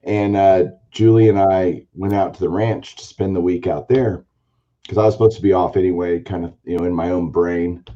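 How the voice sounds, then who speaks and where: A man hosting a casual card-collecting chat show, telling a long story in a rambling, animated way with a hoarse, raspy voice. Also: low-pitched, normal speed, muffled recording. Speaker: podcast host, baseball card collector.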